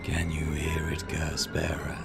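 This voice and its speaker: A man voicing a sinister curse spirit in a horror visual novel, urging murder in a drawn-out, breathy growl. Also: rumbling low, completely flat delivery, voice pushed down, full character voice. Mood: sinister, commanding. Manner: slow, drawn-out, sinister delivery. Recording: music under the voice.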